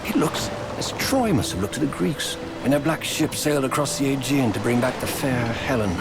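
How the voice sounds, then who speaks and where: A man voicing a bookish, lofty army officer in a video game, speaking in a formal, measured, poetic manner. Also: medium pitch, lively intonation, natural voice. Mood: wistful. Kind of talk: dramatic video game acting. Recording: loud sea and engine noise.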